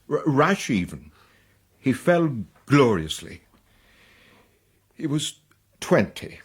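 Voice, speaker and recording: An actor playing a sentimental, gallant old military Count with a husky voice in a period radio drama. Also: male voice, mid-range voice, exaggerated voice. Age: older.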